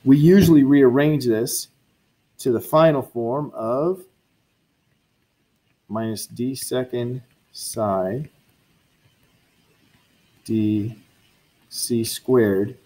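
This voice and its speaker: A male physics lecturer works through equations at the board in a mid-pitched voice. He thinks aloud as he goes, catching and fixing his own slips. He breaks off now and then to talk casually with his students. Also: dark tone, low-quality recording. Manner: thinks aloud, self-correcting, chatty asides to students. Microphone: lecture recording.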